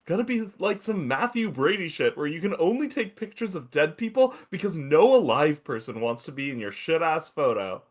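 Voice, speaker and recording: A man's voice: a little high, sing-song, normal speed, hardly any pauses. A man playing an irreverent slacker space probe in a podcast table read, casual, flippant and rambling.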